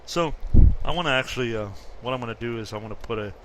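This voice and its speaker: A man's voice: mid-range voice, fast talker, noisy background. A man in his fifties casually chatting through gameplay commentary, rambling and correcting himself as he goes. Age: 50s. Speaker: gaming YouTuber.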